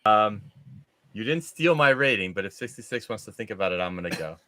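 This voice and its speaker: A man's voice: lowish voice, lively intonation, brisk, bright, forceful tone, dry recording. A man hosting a casual live movie discussion, talking in an informal, rambling, conversational way. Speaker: show host.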